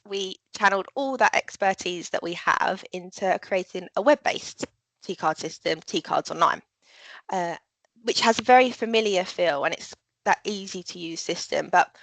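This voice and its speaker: A woman in a customer support role presents a product in a live business webinar. She explains things in a friendly, clear way, with a few ums. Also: medium pitch, fairly bright tone, no room sound, poor audio.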